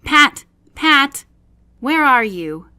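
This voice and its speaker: A woman's voice: sits high, sing-song, extremely slow, sharp tone, voice pushed up, exaggerated voice. A woman voicing an angry, impatient male rabbit master in a storybook, barking orders and scolding. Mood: angry, demanding.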